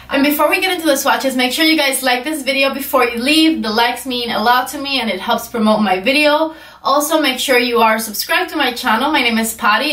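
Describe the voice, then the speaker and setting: A woman's voice: on the high side, fairly bright tone. A young woman reviewing makeup in a casual, chatty way, her voice stuffy and congested from a cold. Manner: chatty, enthusiastic, many "like" fillers. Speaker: beauty vlogger. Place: indoor room with shelves. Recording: roomy echo, voice slightly far from mic.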